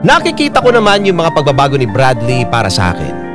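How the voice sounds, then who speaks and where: A man's voice: mid-range voice, wildly swooping pitch, fast talker. A man narrating a dramatic first-person story as a radio storyteller. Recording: piano music behind the voice.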